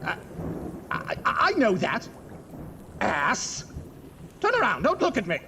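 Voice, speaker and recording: Pompous, swooping high-pitched mad scientist. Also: male voice, wildly swooping pitch, slow, full character voice. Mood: irritated. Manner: theatrical, grandiose, exasperated. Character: pompous, irritable young mad scientist, flustered and arrogant. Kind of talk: comedic horror audio drama acting. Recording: noisy, echoing hall reverb, clicks.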